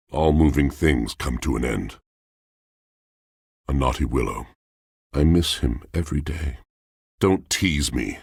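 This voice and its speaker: Brooding, very deep, gravelly poetic soul. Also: male voice, no room sound, studio quality, exaggerated voice. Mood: bitter, mournful, then earnest, pleading. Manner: theatrical, florid poetic diction, solemn. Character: brooding, lovelorn poetic washing machine, grandiose and wounded. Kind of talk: video game character acting.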